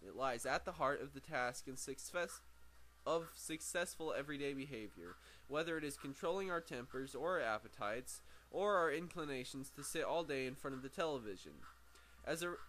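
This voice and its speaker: Male amateur narrator, mid-pitched, book reading with frequent stumbles and repeats, noticeable background noise. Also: normal speed, bright, forceful tone. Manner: stumbles over words and repeats phrases. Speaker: amateur audiobook reader.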